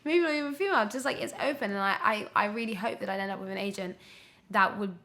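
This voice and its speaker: Young woman, creaky voice, casual interview talk, hesitant, reflective, thinking aloud. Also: medium pitch, fast talker, bright, forceful tone. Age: young adult. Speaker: podcast guest, actress. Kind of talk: candid casual interview conversation.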